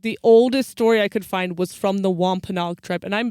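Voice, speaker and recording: Clear-voiced woman chatting, dry close sound. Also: medium pitch, steady tone, brisk, mellow, no room sound. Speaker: podcast co-host. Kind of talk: casual storytelling chat.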